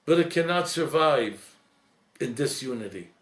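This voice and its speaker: A man, a priest, giving an earnest, reflective talk, slowing and softening at emotional points. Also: mid-range voice, expressive, echoey room. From Lebanese heritage.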